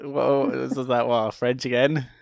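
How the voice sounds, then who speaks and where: A man's voice: mid-range voice, little pitch movement, unhurried, gravelly, no room sound. A man co-hosting a casual comedic podcast, talking in a rambling, irreverent way with many restarted sentences. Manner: joking, irreverent. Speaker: podcast co-host.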